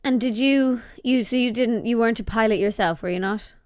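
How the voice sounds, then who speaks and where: Higher-pitched woman interviewing casually, dry sound. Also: fairly quick, darkish tone, smooth, no echo. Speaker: podcast host, interviewer.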